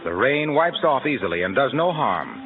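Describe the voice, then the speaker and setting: A man's voice: mid-range voice, big pitch swings, moderate pace. A man working as a 1940s radio announcer, reading scripted introductions and sponsor copy in a polished, salesmanlike way. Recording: narrow-band vintage radio, faint music.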